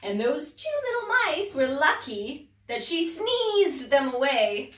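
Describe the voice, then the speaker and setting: A woman teacher reading a children's story aloud, expressive, dropping to whispers and rising to loud exclaims. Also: high voice, slow. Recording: echoey room, distant mic.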